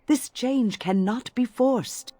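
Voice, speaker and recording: An actress playing an older, genteel female mage in a fantasy game, speaking formally and with measured kindness. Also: a little high, dark tone, dry recording. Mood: stern warning. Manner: formal, measured, kindly diction. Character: elderly genteel female mage, wise and cautious. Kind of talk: fantasy game dialogue acting.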